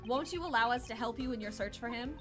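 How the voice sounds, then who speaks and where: A woman voicing a composed male prosecutor in a video game, speaking in a formal, measured, probing way. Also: fairly high pitch, over music, close to an everyday voice. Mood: polite, probing.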